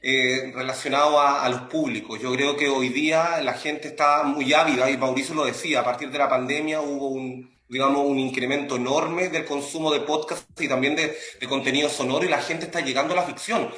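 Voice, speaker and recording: A man's voice: medium pitch, fairly even intonation, a little fast, hardly any pauses, pushed and bright, echoey room, slight background noise. A man with a Chilean accent, explaining and promoting his work conversationally as an interview guest. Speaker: interview guest, academic and audio creator.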